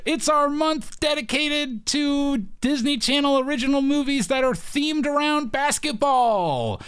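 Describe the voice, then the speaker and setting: A man's voice: very high voice, a little slow, fairly bright tone. A man co-hosting a movie podcast in joking casual chat, sarcastic and sweary with mock exasperation. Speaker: podcast co-host.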